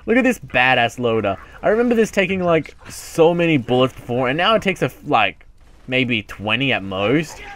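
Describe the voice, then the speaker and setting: Male let's player, casual and rambling, mid-pitched, self-mocking, talks to himself while playing. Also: a bit bright. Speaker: gaming YouTuber. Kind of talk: casual let's play commentary.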